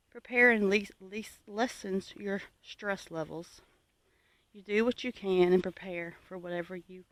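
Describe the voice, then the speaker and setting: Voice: mid-range voice, no echo. A homesteading vlogger from the United States giving an informal, reflective talk to viewers in an earnest, plainspoken, advisory manner.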